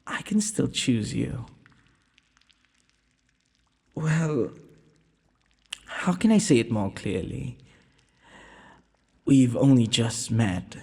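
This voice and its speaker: A man's voice: medium pitch, creaky, a little mellow, slightly rough, heavily acted. A man playing a shy, tender, self-doubting young prince in a romantic audio roleplay, speaking in hesitant, formal courtly phrases. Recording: long hall-like reverb.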